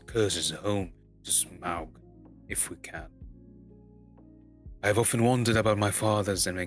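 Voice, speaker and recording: A proud dwarf heir acted by a man broods in a low voice, solemn and declamatory. It is a bitter storybook figure, with soft music underneath. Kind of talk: dramatic book reading.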